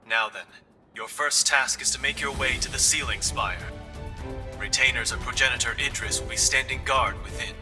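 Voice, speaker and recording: An actor playing a solemn military commander in a game cutscene, addressing his troops in formal, measured oratory. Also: mid-range voice, far from the mic, close to an everyday voice. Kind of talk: dramatic game cutscene speech.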